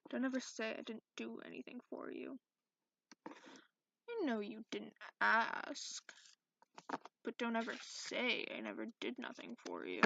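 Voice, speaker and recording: A woman plays an ancient, cranky dragon in an ASMR roleplay, speaking slowly in a fairly high voice. The delivery is soft, haughty and sarcastic, with grudging manners. The recording is dull and lo-fi. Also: gravelly, background noise, heavily acted. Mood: mocking, grudging. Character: cranky sarcastic centuries-old dragon who looks young, reading tarot. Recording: dull lo-fi microphone sound.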